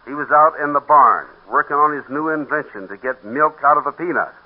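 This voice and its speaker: A man's voice: fairly high pitch, a little fast, lightly acted. An actor playing an amiable male straight man in 1940s radio comedy, on a muffled broadcast. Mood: amiable, teasing.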